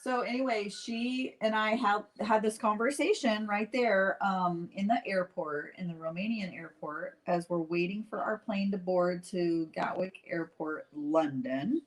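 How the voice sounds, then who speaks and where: A middle-aged woman chatting casually to livestream viewers as she tells a personal story, acting out bits of dialogue in an animated, informal way. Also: mid-range voice, measured pace, hardly any pauses, poor audio. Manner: chatty, animated retelling with acted-out dialogue and frequent "like"; addresses viewers directly. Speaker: host painting live while telling stories.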